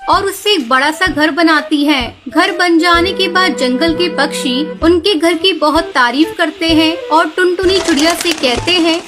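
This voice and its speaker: A woman's voice: very high voice, unhurried, music in the background. A woman narrating a scripted children's cartoon story.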